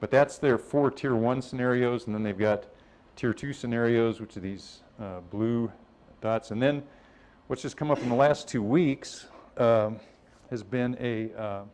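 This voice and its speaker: A man's voice: medium pitch, a little slow, darkish tone. A man presenting at a scientific workshop in an informal, conversational way, explaining things and voicing other people's positions as he goes.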